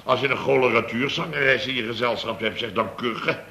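A man's voice: medium pitch, brisk, bright, forceful tone. An actor playing a blustering, exasperated boss of a building firm in a radio comedy, exclaiming and repeating himself as he tells his tales. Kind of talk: Dutch radio comedy acting, anecdote telling.

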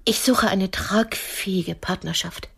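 A woman's voice: mid-range voice, measured pace, gravelly, a bit bright, breathy edge, full character voice. A woman acting in a radio drama as a lonely older typist, haughty and cutting, yet romantic. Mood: frank. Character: lonely middle-aged female typist, haughty, sharp-tongued, romantic. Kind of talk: intimate radio drama dialogue.

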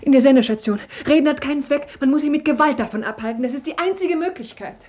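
A woman's voice: high-pitched, quick pace, background noise, close to an everyday voice. An actress playing an anxious woman who urgently pleads for help for a friend, insistent and pleading, in a 1950s radio drama.

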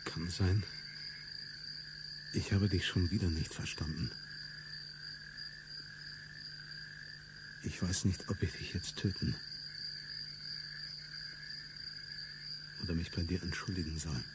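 A man's voice: sits low, fairly even intonation, normal speed, heavy background noise, lightly acted. A man playing a fierce, declamatory revolutionary. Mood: baffled. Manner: solemn, declamatory delivery. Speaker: radio drama actor. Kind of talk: stage-like radio play acting.

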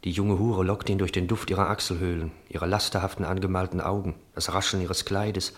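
A man's voice: low-pitched, level tone, fairly quick, close to an everyday voice. A man narrating a literary radio drama as an observant storyteller, in precise, descriptive, clipped phrases. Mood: measured. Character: observant narrator of a brothel scene. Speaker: narrator of stage directions. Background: music.

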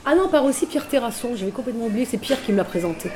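A middle-aged woman musician chatting casually in an interview, relaxed and full of fillers. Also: on the high side, rapid-fire, a little hiss or hum. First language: French. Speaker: musician guest.

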